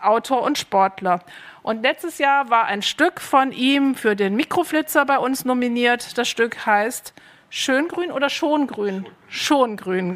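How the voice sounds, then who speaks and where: Higher-voiced woman moderating a panel. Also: fairly bright tone. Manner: friendly, lightly hesitant, with fillers. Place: stage at a festival panel.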